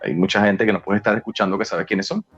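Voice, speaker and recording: A man's voice: lowish voice, steady tone, quick pace, a bit bright, husky, highs cut off, faint music behind. A Venezuelan man in his forties, talkative and colloquial, telling stories casually as an interview guest. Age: 40s. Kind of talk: casual conversational storytelling.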